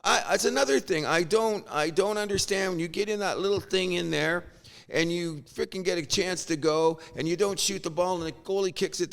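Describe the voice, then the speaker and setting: Middle-aged canadian guy ranting about sports, kinda high gravelly voice, gets worked up. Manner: animated, exasperated, swears casually. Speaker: sports podcast host. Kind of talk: opinionated sports rant.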